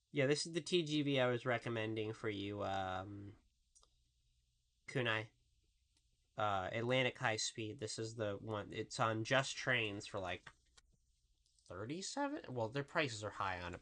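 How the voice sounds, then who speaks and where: A woman with a really deep voice, casually commentating a live game stream and chatting with viewers in a rambling, profane way. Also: fairly bright tone. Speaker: VTuber streamer.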